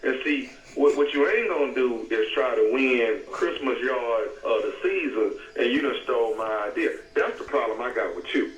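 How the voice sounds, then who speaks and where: A man's voice: mid-range voice, normal speed, distant mic, natural voice. A man playing a confrontational, slangy neighbour, repeating his accusations in an improvised prank phone call. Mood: accusing. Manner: insistent, repetitive, slangy. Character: confrontational male neighbour accusing someone of stealing decoration idea. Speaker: prank caller. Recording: muffled, faintly noisy, roomy phone line.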